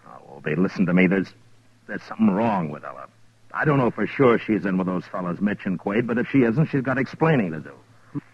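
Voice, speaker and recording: An actor playing a weary, level-headed American frontier marshal, terse and dry, in an old-time radio western. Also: male voice, sits low, animated, fairly quick, breathy edge, no echo. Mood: concerned, urgent. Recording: dull vintage radio recording.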